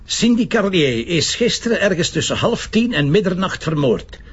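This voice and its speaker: Stubborn police commissioner: Flemish, slightly high-pitched for a man, impatient and grumbling, in a crime radio play. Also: very animated, normal speed, husky, exaggerated voice. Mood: insistent. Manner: blunt, impatient, argumentative. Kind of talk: Flemish audio crime drama acting. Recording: dull lo-fi sound with background noise.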